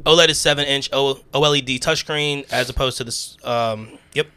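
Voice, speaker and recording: A man co-hosting a gaming podcast, relaxed and explaining things plainly in casual chat. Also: medium pitch, normal speed, clear, resonant tone. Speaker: podcast co-host.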